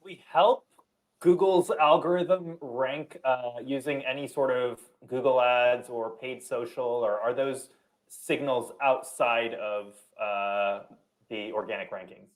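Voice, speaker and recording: A young man speaks slowly at a medium pitch as a moderator. He reads questions out to panel guests on a video call. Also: steady tone, rough recording. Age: young adult. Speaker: host, moderator. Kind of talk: reading audience questions to a panel. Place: room at home, video call.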